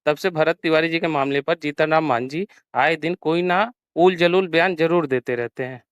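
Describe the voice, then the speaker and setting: A man's voice: a little high, moderate pace, clean tone, no room sound. A man, working as a news interviewer and putting political questions over a call.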